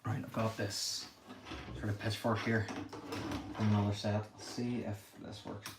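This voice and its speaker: A young man with a Northern Irish accent, thinking aloud quickly and apologetically as he chats with a livestream audience. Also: on the low side, flat delivery. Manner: talks quickly. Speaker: livestreamer building a brick set. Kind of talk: casual livestream chat while building. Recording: echoey room with faint noise.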